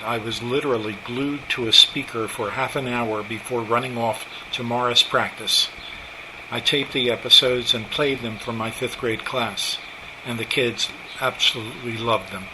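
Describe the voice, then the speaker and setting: A man in his seventies with an American accent, reading a scripted personal narration. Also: mid-range voice. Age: 70s. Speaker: narrator, old-time radio enthusiast. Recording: steady hum of room noise.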